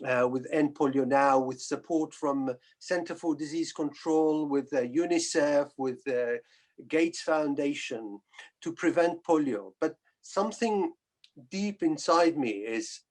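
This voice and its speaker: A middle-aged man from northern Iraq giving a personal account on a webinar, speaking in a halting, hesitant way. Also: on the high side, a little mellow. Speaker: guest speaker, physician and polio survivor.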